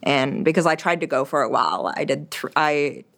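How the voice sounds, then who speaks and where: A woman's voice: sits low, animated, normal speed, vocal fry, fairly bright tone. A young woman from Quebec whose first language is French, talking casually as an interview guest, with many fillers and restarts. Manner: chatty, frequent fillers and restarts.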